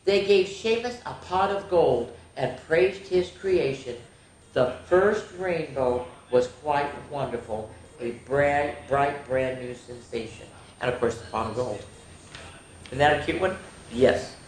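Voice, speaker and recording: A woman's voice: very deep voice, slow pace. Woman reading children's stories in echoey room. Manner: expressive, playful, talks to young listeners, comments as reading. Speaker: children's story time host. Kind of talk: animated read-aloud of picture books with chatty asides. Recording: very echoey room with faint noise.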